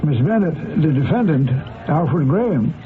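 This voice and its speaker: A man's voice: a little high, big pitch swings, moderate pace, crowd noise. An actor playing an officious jury foreman, pressing and exasperated, in an old radio drama. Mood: incredulous. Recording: tinny old radio, music behind.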